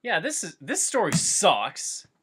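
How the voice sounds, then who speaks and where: A man's voice: high-pitched, huge pitch swings, takes it slowly, a bit bright, breathy edge. A man in casual banter, nitpicking and skeptical as he comments. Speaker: co-host listening to a reading.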